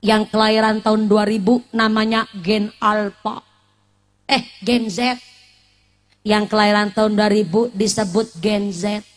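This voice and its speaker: A woman's voice: medium pitch, a little slow. A woman in her late twenties giving a humorous sermon, teasing the congregation with questions and mixing in regional words. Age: late 20s. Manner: lively, teasing, asks the audience questions, mixes in Javanese and Sundanese words. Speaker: preacher.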